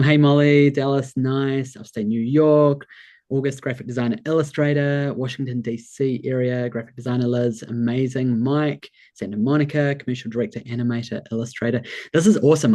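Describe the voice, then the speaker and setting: A man with a New Zealand accent hosts a live online workshop, his voice sitting at an ordinary middle pitch. He sounds enthusiastic and encouraging, warmly greeting people and reading their messages aloud over a video call. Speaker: workshop host and business coach. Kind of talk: upbeat webinar hosting.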